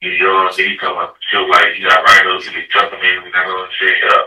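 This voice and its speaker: A man talks in casual slang on a distant, echoey jail phone recording. His voice is low and very harsh and sharp in tone, with little melody. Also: monotone, fast talker. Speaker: jail inmate.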